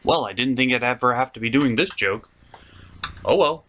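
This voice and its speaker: A man's voice: medium pitch, lively intonation, moderate pace, pushed and bright, slight background noise. A man giving a scripted comedic review as host, with an exasperated, sweary, ranting delivery. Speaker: puppet-show reviewer and host.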